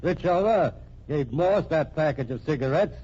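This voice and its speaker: A man plays a tough American police captain in an old radio crime drama. His voice sits a bit high, slangy and blunt, with a short temper. The sound is dull with background noise. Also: lively intonation, moderate pace, dark tone, close to an everyday voice. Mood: accusing, sure. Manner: hard-boiled, slangy, accusing.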